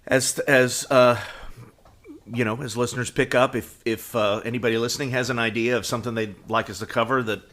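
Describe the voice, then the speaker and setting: A man's voice: mid-range voice, steady tone, a little fast, fairly bright tone. Wry man co-hosting a podcast. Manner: wry, joking. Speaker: podcast co-host. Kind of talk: casual chat about superhero shows.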